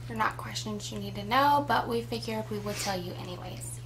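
A woman's voice: medium pitch, a little fast, a little hiss or hum, poor audio. A woman in her twenties chatting casually to a webcam in a small room, full of teasing jokes. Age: 20s. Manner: teasing, joking asides. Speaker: co-host. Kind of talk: casual chat. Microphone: webcam at desk distance.

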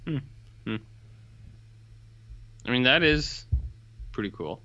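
Animated bright-voiced man, muffled audio. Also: mid-range voice, takes it slowly, no echo. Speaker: podcast co-host. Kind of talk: casual banter.

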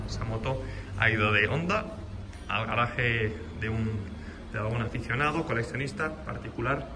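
A man walking around a motorcycle in an indoor workshop, explaining it to the camera filmed on a handheld phone. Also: medium pitch. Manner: explanatory, shifts to raised voice over a running engine. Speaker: motorcycle workshop owner showing a bike for sale. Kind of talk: hands-on walkaround video. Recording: noisy room with engine sound.

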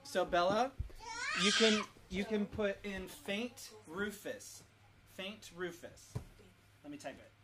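A woman's voice: medium pitch, slow pace. A woman hosting a casual family livestream, giving children short coaching instructions like a drama leader. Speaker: host leading a family Bible activity. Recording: noisy, roomy sound, distant mic.

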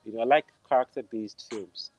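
A man's voice: medium pitch, a little fast, a little mellow, slightly rough. A man with a Nigerian accent, an interview guest talking casually on a video call, often searching for words and repeating phrases. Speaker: interview guest, film composer. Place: room at home, on a video call. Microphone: wired earphones on a video call.

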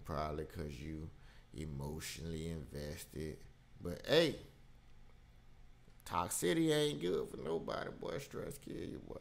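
A man with an American accent and a low, gravelly voice talks casually as a podcast host. His delivery is unhurried, giving informal reaction commentary.

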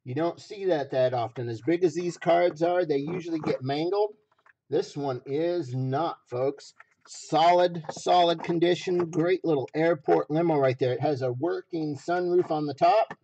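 A man hosting a livestream auction with folksy, repetitive casual sales patter, his voice rough, raw and a little hoarse. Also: fairly high pitch, a little slow, mellow, muffled recording, slight background noise. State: slightly hoarse.

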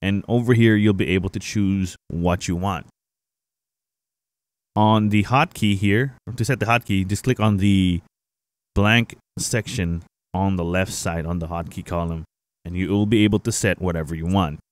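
Low-voiced man giving a casual tutorial. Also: moderate pace, no echo. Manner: informal, explanatory, addressing viewers. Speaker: tutorial host, gaming streamer. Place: indoor home streaming setup. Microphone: close boom-arm microphone.

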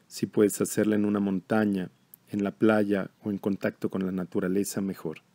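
Low, mellow man guiding meditation. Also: dry recording. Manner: calm, measured, instructional. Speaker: meditation guide. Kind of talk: slow guided meditation.

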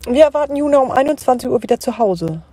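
An actress playing a firm, inquisitive mother in an audio drama, with a soft-toned husky voice. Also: high voice, lively intonation, fast talker, no room sound. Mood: firm, probing. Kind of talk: German audio drama acting.

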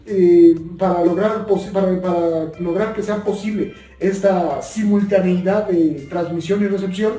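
A man's voice: sits high, mellow. A young adult man giving an informal, earnest tutorial as a video host, often repeating and rephrasing his points. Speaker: YouTube explainer host. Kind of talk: informal spoken tutorial on two-way radios. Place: small bare indoor room, seated at table. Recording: echoey room, distant mic, faint music.

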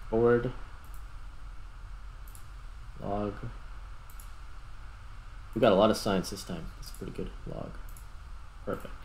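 A man giving casual, unhurried gameplay commentary, thinking aloud as he plays. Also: fairly low pitch, a little mellow, low-quality recording. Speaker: host, gamer.

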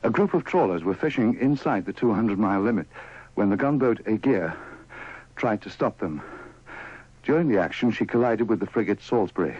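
A man's voice: fairly low pitch, animated, brisk, darkish tone, husky, no room sound. A British man reading the radio news in a formal style. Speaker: radio newsreader. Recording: dull, dry old radio broadcast.

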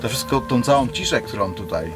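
A man presenting his own creative project as its musician and creator, in halting, hesitant phrases. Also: medium pitch, animated, music in the background.

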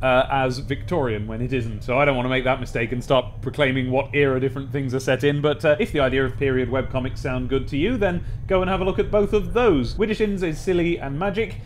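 A man's voice: medium pitch, fast talker, few pauses, slight background noise. A young British man giving casual game commentary and reading aloud, chatty, rambling and wry, close on a desk mic. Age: young adult. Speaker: let's play host. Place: small room at home or office, indoors. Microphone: desk microphone with pop filter, close.

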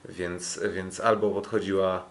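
Podcast host, male, slightly low voice, casual sports analysis, hesitant delivery full of filler pauses. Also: flat delivery. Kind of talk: sports recap commentary, casual chat.

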